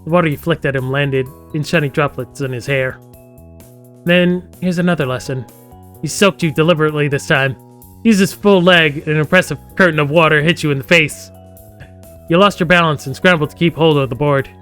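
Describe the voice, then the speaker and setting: A man's voice: on the high side, a little fast, a bit bright. A man streaming a game live, reading its story text aloud and breaking off into chatty, joking asides. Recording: music playing behind the voice.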